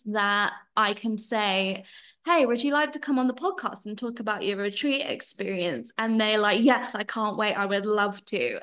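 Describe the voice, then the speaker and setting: A woman's voice: fairly high pitch, fairly bright tone. A woman hosting a podcast about her retreat, chatty, effusive and grateful as she welcomes her guests. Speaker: podcast host and retreat leader.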